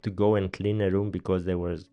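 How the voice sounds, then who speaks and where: A man's voice: low-pitched, level tone, a little fast, clear, resonant tone, no echo, dull audio. A man in his thirties with a Greek accent, hosting a casual podcast interview in a small studio, chatty and laughing often. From Greece. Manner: chatty, laughs often, non-native grammar. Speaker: podcast host. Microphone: studio mic on boom arm, headphones.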